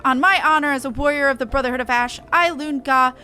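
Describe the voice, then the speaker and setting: A woman voicing a brash, proud female beastman warrior eager for battle, forceful and theatrical with archaic phrasing. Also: sits high, fast talker, soft background music. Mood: indignant, fired up. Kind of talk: reading game dialogue aloud.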